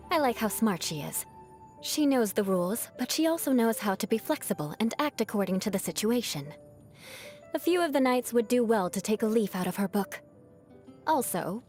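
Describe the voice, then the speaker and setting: Music plays behind an actress as a proud young noblewoman knight from a video game. She speaks in a medium-pitched female voice with haughty composure and formal, disdainful phrasing. She holds grudges, yet a soft-hearted streak shows underneath. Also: close to an everyday voice. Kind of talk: scripted video game character voice lines.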